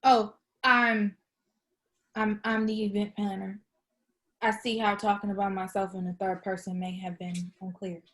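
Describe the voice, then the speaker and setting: A woman's voice: medium pitch, little pitch movement, unhurried, a bit bright, natural voice. A woman playing an awkward, eager young woman in a script table read, hesitating and repeating herself. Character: awkward, eager young woman fibbing her way through job hunt.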